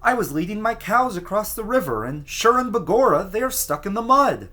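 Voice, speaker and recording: A man voicing a tiny bearded fairy man with pointed ears, pleading for help in a high, urgent voice. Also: big pitch swings, fairly quick, bright, forceful tone, full character voice. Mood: frantic pleading.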